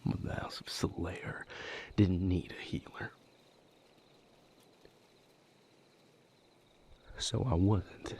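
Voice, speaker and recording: A man playing a weary, wounded vampire healer in an intimate audio roleplay, speaking in a breathy, gravelly, halting and earnest way. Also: low-pitched, steady tone, warm tone, slight background noise. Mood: pained, earnest. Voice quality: breathy, gravelly, half-whispered. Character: weary, wounded male vampire healer leaving his house.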